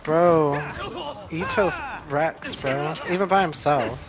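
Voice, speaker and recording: A man's voice: fairly high pitch, takes it slowly. A man giving casual gameplay commentary in a laid-back, slangy way. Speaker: gamer.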